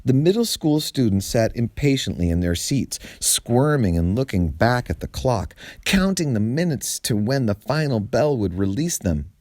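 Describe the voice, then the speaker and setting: A man reading a humorous story aloud in an expressive, theatrical way with deliberate phrasing. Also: mid-range voice, dry recording.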